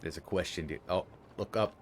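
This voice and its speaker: A man's voice: low-pitched, fairly quick, faint music behind. A man co-hosting a game stream, chatting casually and teasing the player with frequent laughs. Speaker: co-host watching the stream. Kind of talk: casual commentary.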